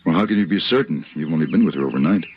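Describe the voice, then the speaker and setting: Tough, principled Western lead, firm and animated, muffled vintage radio drama sound. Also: male voice, extremely low pitch, very fast. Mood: steady. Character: tough, principled Western hero, protective and commanding. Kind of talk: 1950s radio drama acting. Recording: muffled, noisy old radio recording.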